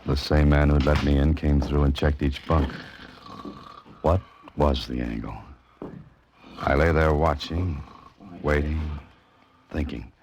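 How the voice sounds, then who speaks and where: A man's voice: extremely low pitch, steady tone, slow, pauses often, noisy background, full character voice. A man with an American accent acting a wary, probing investigator who narrates a 1940s-style radio mystery. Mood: brooding, watchful. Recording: old radio broadcast with music behind.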